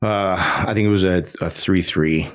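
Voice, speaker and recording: A man's voice: low-pitched, steady tone, normal speed, clean tone. A middle-aged man from the United States, a veteran guest in a casual interview, telling candid stories with restarts and asides.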